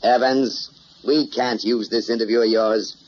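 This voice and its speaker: An actor playing a gruff, domineering newspaper chief in an old crime drama, blunt and sarcastic. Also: male voice, medium pitch, steady tone, unhurried, darkish tone, natural voice. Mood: curt. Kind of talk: mid-century American crime drama acting. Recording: muffled, noisy old drama soundtrack.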